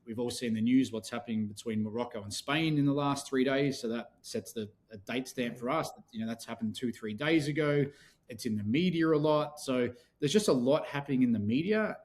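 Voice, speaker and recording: A man being interviewed as a company founder on a podcast, explaining things in a steady, practical way. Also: mid-range voice, brisk. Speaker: podcast guest, business founder. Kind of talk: interview conversation.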